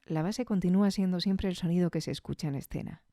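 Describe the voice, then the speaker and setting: Woman from spain w/ spanish accent, chatty podcast review, soft dark tone, very dry sound. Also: lowish voice, fast, no echo. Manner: reflective, conversational, often seeking agreement with tag questions. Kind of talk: casual spoken review of audio fiction.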